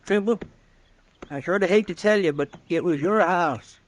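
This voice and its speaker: An earnest frontier deputy acted by a man for an old-time radio western. His voice sits fairly high, with a folksy rural American drawl and a deferential, plain-spoken way. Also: normal speed, close to an everyday voice. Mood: urgent, regretful.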